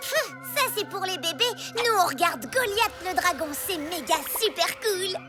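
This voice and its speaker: A woman voicing a lively, mischievous little girl wild boar in a cartoon. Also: extremely high pitch, moderate pace, loud music behind the voice. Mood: excited. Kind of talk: French cartoon dubbing.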